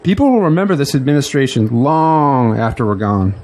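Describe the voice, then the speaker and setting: An actor playing an exuberant, grandiose newly elected politician in an audio drama, with an excitable, speechifying manner. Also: male voice, mid-range voice, brisk, lightly acted. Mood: elated.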